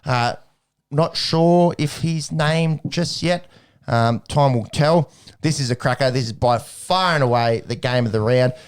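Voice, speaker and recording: A man's voice: medium pitch, measured pace, clean tone. Rambling man talking footy. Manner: rambling, restarts, jokey, laughs often. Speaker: podcast co-host. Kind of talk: casual sports chat.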